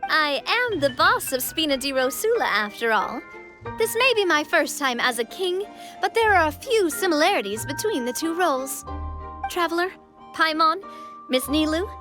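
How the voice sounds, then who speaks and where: A young boss turned king in a video game cutscene. Her voice is high and sweeps expressively up and down, poised and gracious, over background music. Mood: confident. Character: confident, gracious young female leader playing a king.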